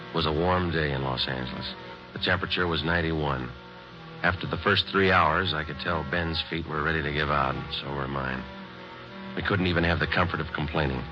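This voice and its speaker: Super deep deadpan cop, clipped and flat, dull old radio sound. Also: male voice. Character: weary dogged male police detective narrator. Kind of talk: 1950s radio drama acting.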